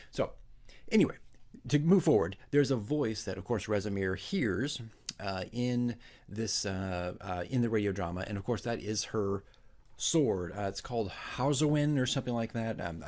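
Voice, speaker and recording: A man's voice: on the low side, a little mellow. A man working as a dungeon master, presenting his fantasy radio drama. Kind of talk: dramatic narration and casual commentary.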